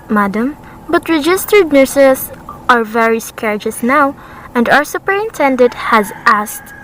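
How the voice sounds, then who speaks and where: A woman's voice: on the high side, fairly bright tone, poor audio. A woman playing a polite, formal, unhelpful switchboard clerk in a radio play, courteous and detached. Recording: amateur recording with faint background noise.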